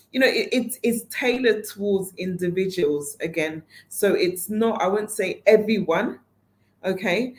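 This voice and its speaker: A woman with a slightly low voice addresses her audience as the organizer of a network event. Her delivery is informal and conversational, full of fillers and self-corrections. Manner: conversational, many fillers and restarts. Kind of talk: casual online talk to an audience.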